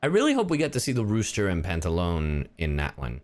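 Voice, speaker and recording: A man's voice: low-pitched, wildly swooping pitch, brisk. A man doing casual livestream commentary on a game, chatting to viewers and swearing freely. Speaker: livestreamer, gamer.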